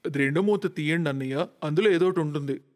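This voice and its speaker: A man's voice: on the high side, fast talker, darkish tone, lightly acted. Man playing a hungry uncle in long reverb. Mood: puzzled. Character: hungry, expectant elder male relatives at dinner. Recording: long reverberant hall echo.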